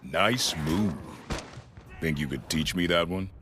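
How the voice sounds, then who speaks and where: A man playing a gruff, good-natured adventurous creature in a video game, talking in a wry, casual, confident way. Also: sits low, slow pace, noisy background. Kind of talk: video game cutscene voice acting.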